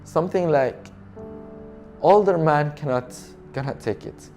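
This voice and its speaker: Young Syrian refugee, mellow earnest testimony over faint music. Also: male voice, on the high side. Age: young adult. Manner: soft, halting and earnest, with non-native grammar. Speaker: refugee telling his story. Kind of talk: documentary interview testimony.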